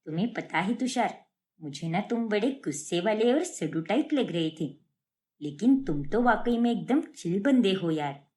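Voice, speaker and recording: A woman's voice: mid-range voice, lively intonation, unhurried, darkish tone, lightly acted. A woman voicing a friendly, chatty young student in a cartoon story, breezy and casual. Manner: breezy, casual, English slang mixed in.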